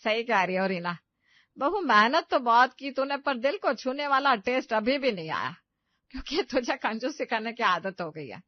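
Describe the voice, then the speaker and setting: A woman's voice: a little high, big pitch swings, normal speed, no echo, muffled recording, pitched above the performer's own voice. A woman voicing a scolding, critical older mother-in-law in a story, nagging and emphatic. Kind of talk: animated story dialogue.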